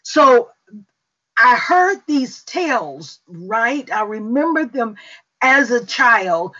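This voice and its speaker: Middle-aged Southern woman, Mississippi accent, slow testimony pace, slightly high voice, muffled call audio. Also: big pitch swings, pushed and bright. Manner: slow, unhurried storytelling with fillers. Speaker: prayer call host.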